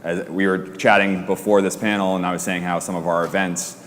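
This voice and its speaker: A man talks casually on a conference panel in a very deep voice, trailing into fillers and restarts. A long hall reverb hangs on his words, with faint ambience underneath. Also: little pitch movement, brisk, a bit bright, slight background noise. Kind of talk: panel discussion.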